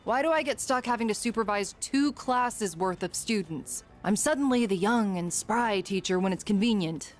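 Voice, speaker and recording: A woman's voice: mid-range voice, moderate pace, hardly any pauses, natural voice. A woman voicing an exasperated young female schoolteacher who grumbles expressively in a video game. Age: young adult. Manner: expressive, complaining. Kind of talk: casual video game dialogue. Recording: music under the voice.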